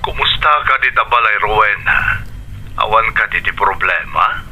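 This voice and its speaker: A man's voice: lowish voice, moderate pace, sharp tone, faint music behind, rough recording. A man playing a concerned, placating husband and father in a Philippine radio drama, speaking earnestly.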